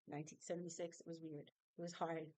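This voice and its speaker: A middle-aged woman from New York telling her childhood story, halting and reflective, with frequent fillers. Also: lowish voice, animated, a little mellow, no room sound. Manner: reflective, halting with fillers, laughs through painful memories. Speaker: singer. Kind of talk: personal storytelling.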